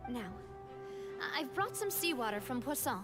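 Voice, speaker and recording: An actress playing an assertive young female prosecutor in an anime game, earnest, pointed and deliberate. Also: high-pitched, big pitch swings. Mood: pointed accusation. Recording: game music behind.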